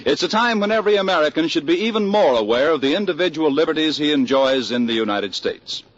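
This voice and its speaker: High-pitched, animated 1940s radio announcer man. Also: fast talker, no echo. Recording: muffled old radio broadcast recording. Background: music.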